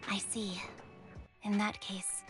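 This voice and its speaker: A woman's voice: medium pitch, flat delivery, takes it slowly, fairly bright tone, soft background music. A woman playing an earnest, caring young woman in a video game. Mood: gentle.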